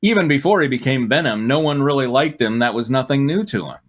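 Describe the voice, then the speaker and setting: A man's voice: mid-range voice, animated, fast. A man reading a story aloud dramatically, breaking off for dry, mocking asides. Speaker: reader on a fan fiction comedy show.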